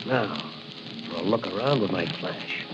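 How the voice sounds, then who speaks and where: A man's voice: low-pitched, measured pace, loud music behind the voice. An actor playing a bold, determined masked crime-fighting patrolman, thinking aloud in clipped remarks in 1940s radio style. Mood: wary, intent. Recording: noisy, muffled 1940 radio recording.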